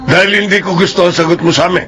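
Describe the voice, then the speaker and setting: A man's voice: high-pitched, steady tone, racing, music in the background, natural voice. A man playing a stern, authoritative middle-aged father in a Filipino radio drama, with a Filipino accent. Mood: angry. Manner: authoritative, firm. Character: stern, domineering middle-aged father, hospital-owning doctor.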